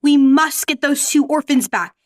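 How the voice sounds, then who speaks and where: Woman as a bossy, spiteful orphanage mistress, high-voiced. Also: moderate pace, natural voice. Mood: scheming, commanding. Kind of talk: radio play acting.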